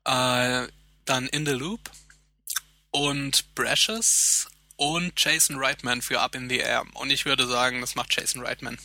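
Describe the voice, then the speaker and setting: A man co-hosting a podcast, chatting casually and hesitantly, with many fillers and quick laughs. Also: mid-range voice, steady tone, sharp tone, dry recording. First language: German. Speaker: podcast co-host. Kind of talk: casual chat.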